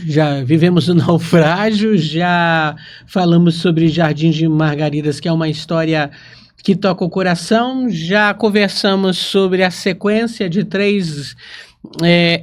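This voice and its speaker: Male podcast host, Brazilian accent, notably high voice. Casual, curious, reactive questioning. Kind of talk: casual conversational interview.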